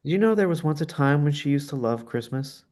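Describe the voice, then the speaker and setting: A man's voice: fairly high pitch, lively intonation, fairly quick, darkish tone, lightly acted. A man reading the part of a chatty, self-promoting fashion colleague in a screenplay table read.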